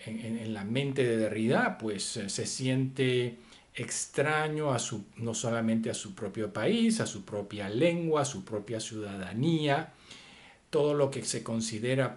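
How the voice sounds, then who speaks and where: Man, philosophy lecturer, slow pace, mid pitch, hesitant, rhetorical questions.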